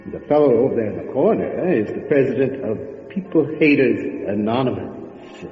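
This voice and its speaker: A man's voice: medium pitch, animated, normal speed, close to an everyday voice. A man playing a ghoulish, pun-loving horror host in an old-time radio style, theatrical and darkly joking. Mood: gleefully morbid. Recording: muffled, long cavernous reverb, music behind. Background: music.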